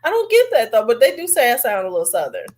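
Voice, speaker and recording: A woman's voice: fairly high pitch, huge pitch swings, fast talker. A middle-aged woman with a Midwestern accent, hosting a casual live chat and rambling with frequent laughs. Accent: Chicago, Midwestern. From American Midwest. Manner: chatty, rambling, laughs often. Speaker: livestream host.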